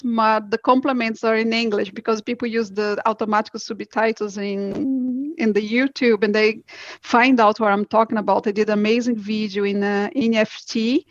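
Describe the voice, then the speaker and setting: Brazilian woman, eager and higher-pitched. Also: no room sound, dull audio. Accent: Brazilian Portuguese. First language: Portuguese. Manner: earnest, enthusiastic, restarts often. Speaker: guest, crypto educator. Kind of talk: interview conversation.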